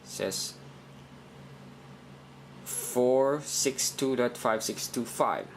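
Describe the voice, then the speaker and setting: A man giving an informal step-by-step tutorial in a halting, deliberate way, often repeating himself. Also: mid-range voice, slight background noise. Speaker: instructor in a how-to video.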